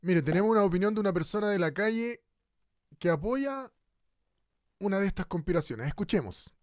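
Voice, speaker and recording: A man's voice: sits high, lively intonation, fairly quick, no echo. A man with a Chilean accent in a radio drama, playing an easily flustered radio host. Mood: formal. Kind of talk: Spanish-language radio drama acting.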